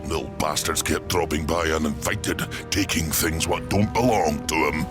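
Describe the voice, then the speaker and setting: An actor playing a surly, sardonic old giant hermit blacksmith, in a video game cutscene. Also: male voice, extremely low pitch, normal speed, over music. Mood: gruff warning. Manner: folksy, colloquial, sardonic growling.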